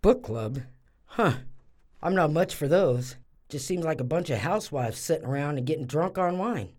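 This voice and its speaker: Woman acting a husky, blunt grumbler. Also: deep voice, expressive, normal speed, a little mellow. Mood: grumbling. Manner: blunt, dismissive. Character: blunt, grumbling woman gambler at a ball field. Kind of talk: audio drama acting.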